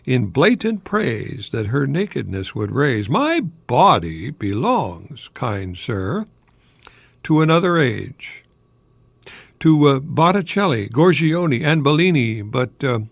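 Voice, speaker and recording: An older American man hosting a folksy solo radio talk in a halting, rambling, easily amused manner. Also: medium pitch, big pitch swings, vocal fry, no echo. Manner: chuckles often at his own remarks, halting and rambling. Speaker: radio host, longtime English professor.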